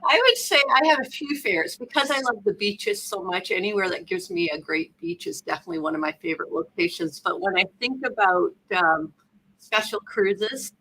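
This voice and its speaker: A woman with a Canadian accent hosting a cruise vlog live stream, bubbly and quick to laugh. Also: medium pitch, lively intonation, sharp tone, slightly rough, poor audio. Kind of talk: casual live-stream chat.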